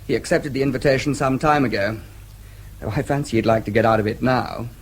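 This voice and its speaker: An actor playing a brisk, dry-humoured English diplomat and protective brother in a radio drama, with an English accent. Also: male voice, medium pitch, slight background noise, close to an everyday voice. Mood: brisk, affable. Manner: brisk, explanatory, dryly teasing. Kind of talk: BBC radio drama acting.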